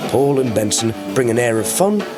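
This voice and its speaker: A man's voice: medium pitch, very animated, normal speed. A man working as a radio reporter, reading a scripted narration. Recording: music under the voice. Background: guitar music.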